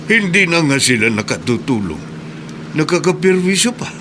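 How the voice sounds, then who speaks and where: Grieving middle-aged widower. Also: male voice, fairly high pitch, very animated, moderate pace, noisy background. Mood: sad. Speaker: radio drama actor. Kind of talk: radio drama acting.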